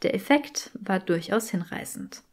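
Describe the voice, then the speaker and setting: A woman's voice: medium pitch, lively intonation, measured pace. Casting: female audiobook narrator for scripted fan fiction, mid-range voice.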